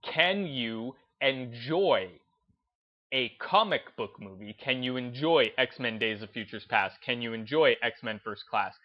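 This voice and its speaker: A man hosting a gaming video essay, speaking in an emphatic, deliberate, unscripted way and repeating phrases to drive his points home. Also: medium pitch, expressive, highs cut off. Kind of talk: opinionated commentary, unscripted talk to listeners.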